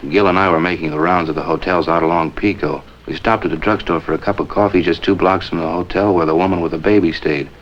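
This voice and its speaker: A man's voice: low-pitched, fairly even intonation, fast, few pauses, lightly acted. An actor playing a helpful policeman in a 1950s drama, reporting in a chatty, matter-of-fact way. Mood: brisk, informative. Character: brisk, helpful male policeman reporting a lead. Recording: dull vintage soundtrack, faint noise.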